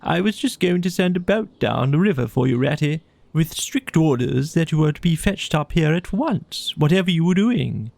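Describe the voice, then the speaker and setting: Man acting out storybook gentleman toad, high swooping pitch, exuberant, pompous, boastful, emphatic. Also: animated, normal speed, vocal fry, hardly any pauses, dry recording. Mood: delighted, eager. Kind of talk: children's audiobook character voice.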